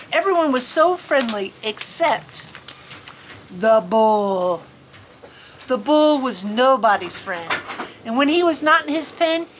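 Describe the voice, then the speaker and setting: A woman's voice: sits high, fairly bright tone. A woman reads a children's storybook in a folksy, playful way, chatting in casual asides as she hosts. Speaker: farm storyteller host. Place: outdoors on a farm in cold weather. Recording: outdoor farm ambience, background noise. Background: faint outdoor ambient noise.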